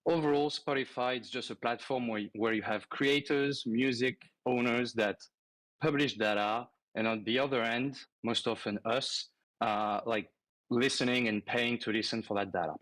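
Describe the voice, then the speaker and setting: A man's voice: medium pitch, steady tone, moderate pace, a bit bright, dry recording, rough recording. A man from France with a French accent, a guest on a casual online show, explaining at length with frequent fillers and restarts.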